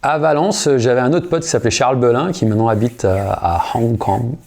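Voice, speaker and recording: A man in his thirties, a guitarist and singer, telling a story in a casual interview. He uses a lot of slang and often starts over or pauses with fillers. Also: mid-range voice, loud music behind the voice. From United States. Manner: relaxed, slangy, many fillers and restarts.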